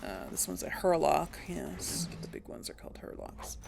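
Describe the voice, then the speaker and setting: A woman's voice: low voice, wildly swooping pitch, moderate pace, vocal fry, a little mellow, slight background noise. A woman hosting a casual gaming channel, thinking aloud and laughing as she plays. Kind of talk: casual gameplay commentary.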